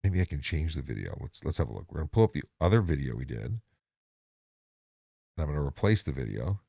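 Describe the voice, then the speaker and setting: A middle-aged man hosting a casual traffic-policing livestream, talking in halting bursts with frequent restarts. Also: a bass voice, sing-song, creaky, mellow, husky, dry recording. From Ontario.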